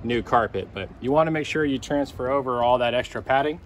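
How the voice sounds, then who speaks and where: Middle aged american guy walking thru a car fix, medium pitch, noisy outdoor camera audio. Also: fairly quick. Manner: plain-spoken, step-by-step explaining. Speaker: DIY car repair host. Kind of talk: casual hands-on tutorial narration. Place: outdoors on a patio and driveway, and at a car. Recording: clear background noise, outdoor ambience.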